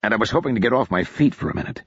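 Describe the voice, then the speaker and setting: A man's voice: lowish voice, lively intonation, racing, breathy edge, no room sound, dull audio. A man reading a weary, grim young captain. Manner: tired, grim, matter-of-fact. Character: weary, level-headed male senior captain.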